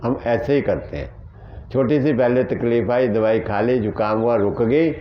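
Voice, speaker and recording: A man's voice: mid-range voice, expressive, normal speed, muffled recording. A man teaching naturopathy, answering questions in an earnest, plain way and asking rhetorical questions.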